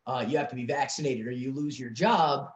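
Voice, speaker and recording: A man's voice: medium pitch, brisk. Young new york guy, chill guest, kinda echoey room, voice fades out at the end of his points. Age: young adult. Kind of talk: casual political discussion.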